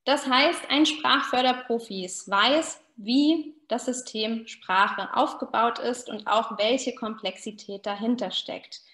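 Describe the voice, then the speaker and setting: A woman presents an academic online lecture in a fairly high voice. She explains step by step and speaks directly to her listeners. The recording is clearly roomy and echoing, as from a computer microphone in a small room. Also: slow pace, few pauses, fairly bright tone. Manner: addresses listeners directly, illustrates with examples. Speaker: university researcher presenting a talk. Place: small indoor room, video call. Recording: roomy, echoing webcam sound.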